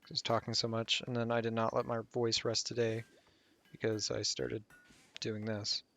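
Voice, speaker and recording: A man's voice: medium pitch, monotone, moderate pace, gravelly, fairly bright tone. A man talking casually over a strategy game guide while losing his voice, rambling and trailing off with fillers. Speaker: gameplay guide host.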